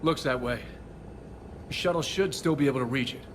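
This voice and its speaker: A man's voice: medium pitch, brisk, heavy background noise, close to an everyday voice. A man playing a level-headed military shuttle pilot in a video game, giving businesslike reports. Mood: measured, concerned. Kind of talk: dramatic video game acting. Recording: loud vehicle cabin ambience.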